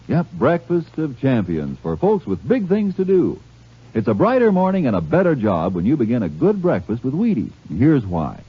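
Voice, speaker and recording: A male radio announcer delivers a folksy 1950s sales pitch. His husky voice swings widely in pitch for emphasis, on a muffled old recording.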